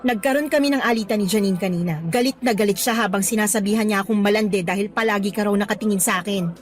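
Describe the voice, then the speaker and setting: A woman's voice: medium pitch, fast talker, lightly acted. A woman playing an earnest, outspoken young schoolteacher in a radio drama, speaking expressively and mixing in English phrases. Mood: serious, suspicious. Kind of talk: Tagalog radio drama acting. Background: faint background music.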